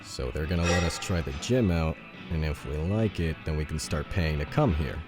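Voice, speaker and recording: Big gruff ex-soldier coaching his team played by a man, low-pitched, music underneath. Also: normal speed. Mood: steady, encouraging. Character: big burly ex-military trainer, gruff but supportive. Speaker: military veteran and coach. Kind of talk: audio fiction dialogue.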